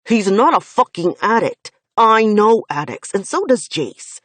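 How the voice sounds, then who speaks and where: A woman's voice: fairly low pitch, very animated, normal speed, a little mellow, slightly rough, no echo. A woman voicing a furious protective young man. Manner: intense, swearing, emphatic.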